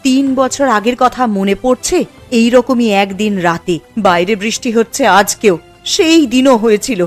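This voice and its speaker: A woman's voice: on the high side, animated, normal speed, soft background music, natural voice. A woman playing a resolute, wronged village widow in a cartoon moral story, earnest and emphatic. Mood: bitter, accusing.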